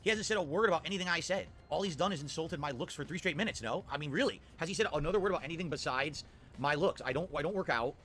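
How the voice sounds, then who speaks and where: An older male streamer with a high-pitched voice, defensive and pedantic as he comments in a played video. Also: rapid-fire, fairly bright tone.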